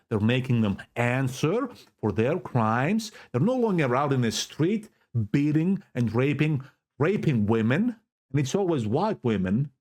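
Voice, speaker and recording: Fervent Greek Cypriot man preaching slowly. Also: medium pitch, a little mellow. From Cyprus. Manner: fervent and preachy, repeats words for emphasis. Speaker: vlogger. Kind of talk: impassioned political monologue to camera. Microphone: self-recorded camera setup.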